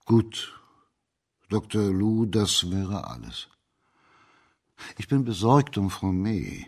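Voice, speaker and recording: A shrewd magistrate of old China in a radio play. His voice is fairly deep and husky. He questions in a measured, formal way, polite yet used to being obeyed. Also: lively intonation, full character voice. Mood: formal, probing. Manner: measured, formal, courteous but commanding. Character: shrewd, authoritative male judge of old China. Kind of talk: radio drama acting.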